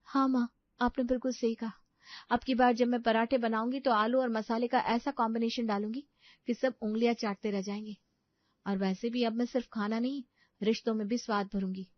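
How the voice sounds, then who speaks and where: A woman's voice: fairly high pitch, steady tone, fairly quick, no room sound, highs cut off, voice pushed up, lightly acted. A woman voicing an earnest, determined young daughter-in-law in a story, speaking in an eager, emphatic way. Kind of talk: animated story dialogue.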